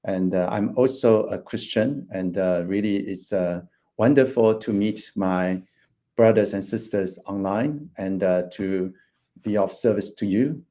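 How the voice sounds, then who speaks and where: Hesitant man in his fifties, deep and mellow. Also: measured pace. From Taiwan. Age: 50s. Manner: hesitant, many fillers and restarts. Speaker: financial planner presenting. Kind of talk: online presentation.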